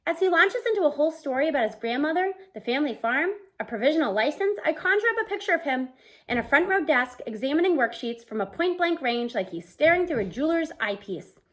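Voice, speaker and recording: A woman in her thirties reading a novel aloud in an expressive, storytelling style, acting out the dialogue. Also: high-pitched, quick pace. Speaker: read-aloud book reader. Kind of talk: expressive children's audiobook-style read-aloud. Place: small room at home, indoors.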